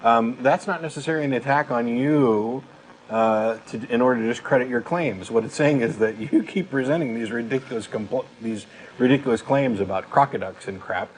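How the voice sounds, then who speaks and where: A man in his late thirties speaks as a talk show co-host. His delivery is casual but emphatic and blunt, at an average pitch. There is some noise behind him. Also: normal speed, poor audio. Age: late 30s. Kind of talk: casual opinionated discussion.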